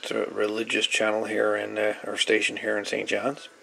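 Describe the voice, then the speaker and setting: Gravelly low-voiced man. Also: completely flat delivery, bright, forceful tone, low-quality recording. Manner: hesitant, unscripted, pausing often. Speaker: hobbyist presenter. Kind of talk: casual hands-on electronics demonstration. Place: small cluttered home workshop. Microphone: camera microphone on the workbench. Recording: camera audio with audible background noise.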